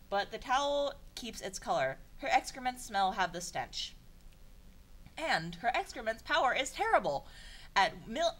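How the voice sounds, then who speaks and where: A young woman reading broken fan fiction aloud for comedy, in a deadpan voice, stumbling over garbled phrases and breaking off for exasperated sarcastic asides. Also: a little high. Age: young adult. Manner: stumbles and self-corrects over garbled sentences.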